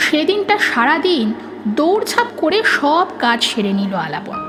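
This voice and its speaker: A woman's voice: sits high, sing-song, normal speed. A woman narrating a scripted horror story in a dramatic storytelling style. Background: prominent background music.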